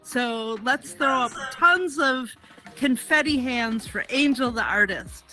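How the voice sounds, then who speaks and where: A woman hosting an event, introducing speakers in an encouraging way. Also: high voice. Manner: warm, encouraging, unhurried. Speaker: event host.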